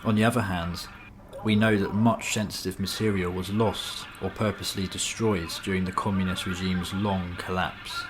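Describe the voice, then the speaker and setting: A man's voice: sits low, flat delivery, moderate pace, noisy background. A man reading a scripted nonfiction piece as a documentary-style narrator.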